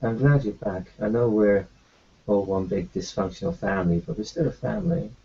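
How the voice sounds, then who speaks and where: Man, gravelly, dark warm tone, lively pitch, calm and reassuring, muffled lo-fi recording. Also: a little fast. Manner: calm, reassuring, matter-of-fact. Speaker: tabletop roleplay player. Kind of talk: in-character roleplay talk.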